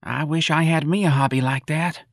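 A man's voice: fairly high pitch, fairly even intonation, normal speed, vocal fry, dry recording, clean studio sound, voice pushed up. A man voicing an aggrieved older working-class mother in an audiobook, with plaintive, folksy, ungrammatical talk.

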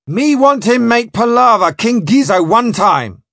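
Man acting a gruff, barking old-time sea captain. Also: medium pitch, big pitch swings, unhurried, fairly bright tone, no room sound, clean studio sound, full character voice. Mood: gruff, scornful. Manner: growling, barking, bullying. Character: gruff, bad-tempered, domineering male sea captain. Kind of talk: dramatised audiobook dialogue.

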